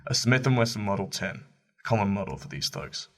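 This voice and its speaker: An actor playing a smug, condescending police inspector with a dry, needling manner in an amateur radio play. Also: male voice, lowish voice, very animated, brisk, fairly bright tone, heavily acted.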